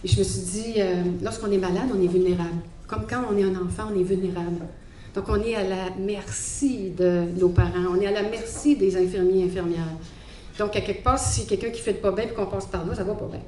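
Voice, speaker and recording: A woman's voice: mid-range voice, fairly even intonation, brisk, mellow. Hesitant Quebec French woman in echoey hall. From Montreal, Quebec. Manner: hesitant, reflective, many fillers. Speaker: guest speaker giving a faith testimony. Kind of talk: personal testimony talk. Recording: echoey hall, faint background noise.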